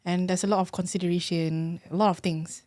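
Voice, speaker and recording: A woman's voice: fairly low pitch, fairly even intonation, a little fast, darkish tone, dry recording. A woman in her thirties with a Malaysian accent, hosting a casual chat and emphatically switching between English and Malay. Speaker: podcast host.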